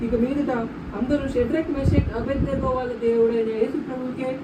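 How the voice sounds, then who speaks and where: A woman's voice: high voice, steady tone, fairly quick, natural voice. Woman playing proud king, distant noisy recording. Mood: proclaiming. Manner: declamatory, imperious. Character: proud, commanding male king in a Bible puppet show. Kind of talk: amateur puppet show acting. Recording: roomy, distant mic, loud background noise.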